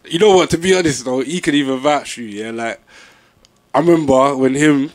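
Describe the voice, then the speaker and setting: A young man with a London accent, chatting casually as an MC being interviewed, with a relaxed manner. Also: mid-range voice, fairly quick. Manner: laid-back, peppered with "you know what I'm saying".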